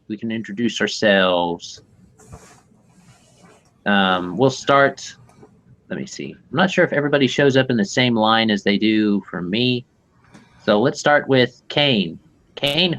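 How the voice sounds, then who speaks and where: A man's voice: medium pitch, animated, fairly bright tone, lo-fi sound. A man acting as game master, talking casually and correcting himself as he goes. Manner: casual, halting, self-correcting. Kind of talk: running a tabletop game session.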